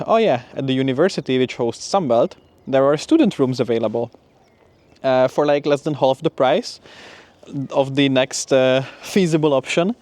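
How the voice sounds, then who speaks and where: A man's voice: medium pitch, a little mellow, dry recording. A young man giving an informal, upbeat vlog update in a conversational way, as a student talking through his week. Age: young adult. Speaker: PhD student vlogger. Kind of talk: casual spoken vlog update.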